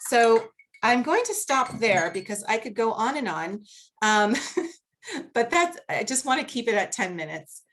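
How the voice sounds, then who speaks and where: A woman with a Boston accent speaking as a guest researcher on a video-call panel, earnest and measured, laughing easily during questions. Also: fairly high pitch, very animated, fairly bright tone, a little hiss or hum. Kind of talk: academic panel talk and Q&A.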